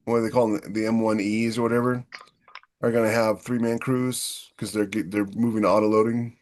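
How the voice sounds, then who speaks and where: A man's voice: lowish voice, level tone, brisk, a little mellow. A man co-hosting a podcast, talking casually and giving firm opinions. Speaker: podcast co-host.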